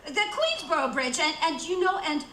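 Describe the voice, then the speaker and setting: A woman's voice: high-pitched, sing-song, moderate pace, sharp tone, echoey room, slight background noise, full character voice. A woman playing a nervous, imperious bedridden wife in a radio thriller, agitated, stammering and insistent. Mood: anxious, insistent. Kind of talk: theatrical radio thriller acting.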